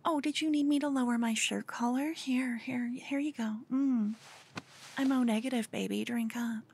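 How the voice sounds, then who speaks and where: Woman acting a breathy, high-voiced lovestruck fangirl. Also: fairly even intonation, normal speed, darkish tone. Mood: playful, smitten. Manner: stammering, breathy, dropping into whispers. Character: cute, obsessed, lovestruck human fangirl, flustered yet brazen. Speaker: ASMR voice performer. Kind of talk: ASMR roleplay.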